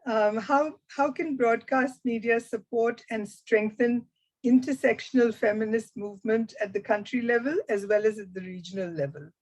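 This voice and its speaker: Woman moderator reading out questions. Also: a little high. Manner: brisk, reading out audience questions. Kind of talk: moderating a webinar. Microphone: video call.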